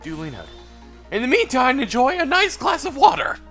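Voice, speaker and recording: A man's voice: extremely high pitch, wildly swooping pitch, moderate pace, a bit bright, soft background music, voice pushed up, full character voice. A man voicing a snippy, sarcastic young waitress from a video game. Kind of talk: video game character dub in a let's play.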